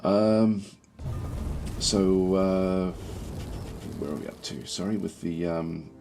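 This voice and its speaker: Male streamer, very low gravelly croak, thinking aloud in halting casual game commentary, faint music behind. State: croaky throat, recovering from feeling unwell. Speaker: livestream host playing a strategy game. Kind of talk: casual game commentary, reading and answering chat.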